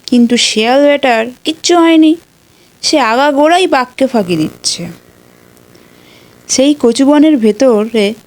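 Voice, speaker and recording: Female narrator for children's tales, unhurried delivery, voice a little high. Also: darkish tone. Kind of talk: scripted storytelling for children.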